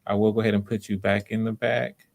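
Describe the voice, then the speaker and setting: Man, low gravelly voice, audition host, casual livestream talk, encouraging, informal, halting improvised phrasing. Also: little pitch movement.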